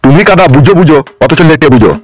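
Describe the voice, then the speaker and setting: A man's voice: a little high, lively intonation, racing, faint music behind, lightly acted. A man voicing a lecherous, corrupt politician, crude and wheedling, in a comedy cartoon.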